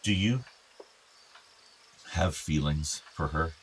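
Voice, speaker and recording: A man's voice: a bass voice, takes it slowly, slight background noise. A man acting a meek, regretful older father in a naturalistic radio play, apologetic and hesitant. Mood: wistful, concerned. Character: regretful, meek father of two grown sons.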